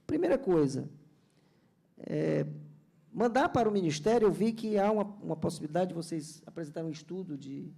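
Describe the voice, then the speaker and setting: A man's voice: a little high, expressive, brisk, gravelly, dark tone. A man from Ceará in Brazil, a government culture official giving an earnest, explanatory seminar panel speech with many restarts. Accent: Brazilian Portuguese, Ceará.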